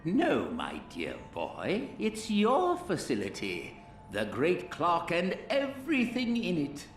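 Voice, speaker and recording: A man's voice: a little high, full character voice. Whimsical, swooping fatherly alien inventor. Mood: proud, solemn. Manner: whimsical, drawn-out, swooping delivery. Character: kindly eccentric alien inventor, a fatherly hologram program. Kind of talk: video game cutscene acting. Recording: echoey, with music behind.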